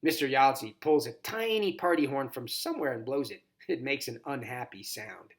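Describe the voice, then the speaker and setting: A man narrates a children's novel as a volunteer reader. His delivery is dramatized and expressive, with wide swings in intonation. The pitch sits in an ordinary male middle range. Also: normal speed. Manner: animated, dramatized first-person reading.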